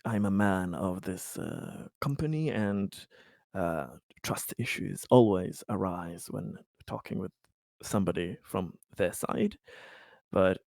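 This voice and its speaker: A man playing a halting, placating company boss. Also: deep voice, animated, vocal fry, darkish tone, no echo, clean studio sound, exaggerated voice. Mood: apologetic, defensive. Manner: halting, stammering, apologetic. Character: hesitant, placating male company colony boss, earnest but shifty. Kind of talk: improvised tabletop roleplay dialogue.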